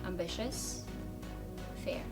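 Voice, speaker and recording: A woman in her thirties from Armenia, telling her life story in a measured, reflective way in an interview, with frequent fillers and restarts. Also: over loud music. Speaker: interviewee, strategy director. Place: office.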